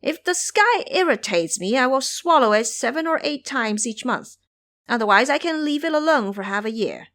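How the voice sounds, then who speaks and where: A woman's voice: sits high, expressive, moderate pace, fairly bright tone, voice pushed up. A woman voicing a boastful, crafty male monkey trickster in an audio storybook.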